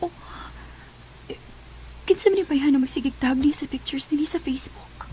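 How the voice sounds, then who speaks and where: A woman's voice: sits high, normal speed, mellow, a little hiss or hum, natural voice, poor audio. An actress playing an emotional, pleading young woman betrayed by her boyfriend, in a radio drama. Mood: worried, brooding. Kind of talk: radio drama acting and first-person narration. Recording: music behind.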